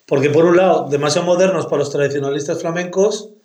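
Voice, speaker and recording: A man's voice: fairly high pitch, fast, a little mellow. Rambling man in an echoey room. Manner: rambling, argumentative, full of slang fillers. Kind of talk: casual music chat.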